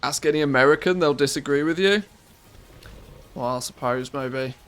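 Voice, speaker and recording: A man's voice: fairly high pitch, moderate pace, a bit bright, clean tone. A man with an English accent and a scratchy, harsh voice, chatting casually to his live-stream audience into a good studio microphone, rambling and correcting himself as he goes. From England. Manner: rambling, self-correcting, musing to chat. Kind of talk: casual live-stream chat while playing.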